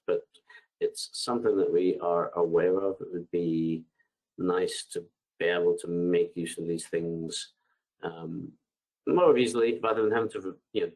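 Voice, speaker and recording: A man with a bad cold and a congested voice, answering webinar questions in a relaxed, hesitant way, reading each question aloud before replying, over a headset on a video call. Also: low voice, poor audio. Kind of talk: live webinar Q&A, unscripted.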